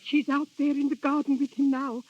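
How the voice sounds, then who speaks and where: Woman as frantic, high-pitched pleading noble mother. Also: flat delivery, a little fast, a little mellow, big-room sound, close to an everyday voice. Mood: alarmed. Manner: anguished, imploring theatrical delivery. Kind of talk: old radio drama acting. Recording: noisy, muffled old radio recording.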